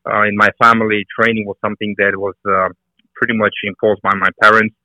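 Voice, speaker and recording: A man's voice: on the low side, little pitch movement, a little fast, sharp tone, dry recording, rough recording. A middle-aged man with a Bulgarian accent, a guest on a podcast interview, talking earnestly with many hesitant fillers. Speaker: guest, fitness and nutrition coach.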